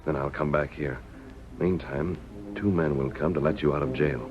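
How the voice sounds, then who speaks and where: A man's voice: a bass voice, normal speed, over music. A man playing a commanding, upright masked cowboy hero in an earnest, authoritative old-time western radio drama style. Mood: confiding, resolute. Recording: dull vintage radio recording with noise.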